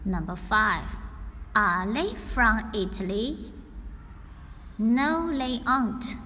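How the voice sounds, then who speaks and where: A woman reading out a scripted listening test in a deliberate, carefully enunciated dictation style. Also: a little high, animated, pushed and bright. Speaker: English listening test reader. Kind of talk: scripted exam narration, slow and repeated. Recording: long hall reverb, faint background noise.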